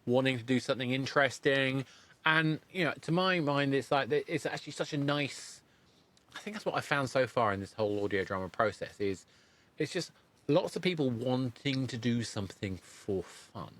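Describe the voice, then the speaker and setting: Halting man hosting a podcast interview. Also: mid-range voice, animated, a bit bright. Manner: halting, rephrases as he goes. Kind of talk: casual interview chat.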